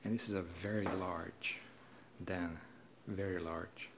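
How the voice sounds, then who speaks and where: A low-voiced man walks through an empty house, plainly pointing out rooms as a realtor. The phone recording carries clear background noise. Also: fairly even intonation, takes it slowly. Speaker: realtor giving a home tour. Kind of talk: casual walk-through narration. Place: empty house and its yard, indoors and outdoors.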